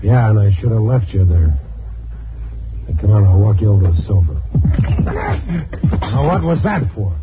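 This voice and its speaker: A man's voice: fairly low pitch, big pitch swings, moderate pace, long pauses. An American man playing a tough, world-weary newspaper reporter in a hardboiled 1950s radio drama. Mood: angry, threatening. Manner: hardboiled, blunt. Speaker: lead actor and narrator. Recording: tinny old 1950s radio recording, music.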